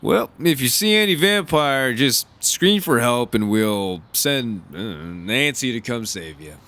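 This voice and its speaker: A man's voice: mid-range voice, expressive, unhurried, bright, forceful tone, slight background noise. An actor playing a joking, folksy father who teases his teenage daughter in a radio drama. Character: jovial teasing father of a teenage girl.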